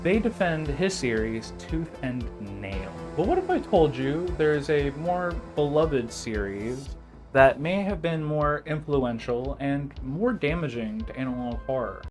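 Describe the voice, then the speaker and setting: A man's voice: fairly high pitch, expressive, unhurried, faint music behind. A young man delivering a casual video essay as narrator, informal and sardonic with joking asides.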